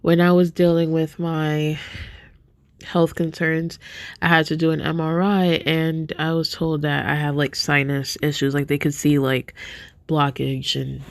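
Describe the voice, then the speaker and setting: A woman's voice: deep voice, level tone, measured pace, no echo. A congested, sniffly woman hosting a podcast, talking earnestly and casually to her viewers. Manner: sniffly, soft-spoken, earnest. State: congested, stuffed-up nose. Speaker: podcast host.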